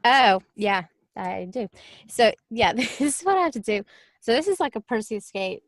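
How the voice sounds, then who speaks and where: A woman's voice: on the high side, very animated, normal speed, no room sound. A woman talking casually and chattily as an audiobook narrator on a panel.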